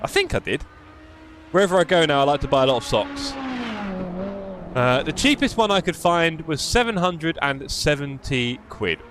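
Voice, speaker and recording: A man's voice: on the high side, very animated, normal speed, fairly bright tone. A man with a London accent chatting and joking with his live stream chat while playing a racing game. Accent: London English. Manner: chatty, jokey, reads chat aloud.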